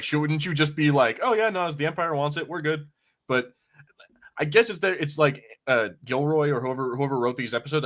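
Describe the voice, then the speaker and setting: A man's voice: medium pitch, a bit bright. A man hosting a podcast, chatting informally and loosely as he reviews, often revising himself mid-thought. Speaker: podcast host. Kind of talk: casual review chat.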